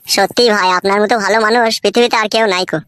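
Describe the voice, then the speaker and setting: A man's voice: high-pitched, steady tone, very fast, fairly bright tone, clean tone. A man voicing a downtrodden, naive young village husband in a comic cartoon, plaintive and deferential. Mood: humble, grateful. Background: music.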